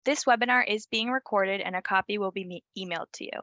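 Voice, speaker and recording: Female webinar host: bright, forceful tone, dry recording, brisk scripted reading. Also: mid-range voice, no room sound, highs cut off. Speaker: webinar moderator. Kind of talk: reading housekeeping announcements.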